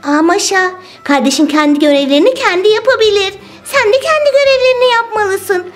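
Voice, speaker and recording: A woman voicing a caring, slightly bossy big sister girl in a toy play video, with a high, girlish, teacherly voice. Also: expressive, a little fast, soft background music. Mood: instructive.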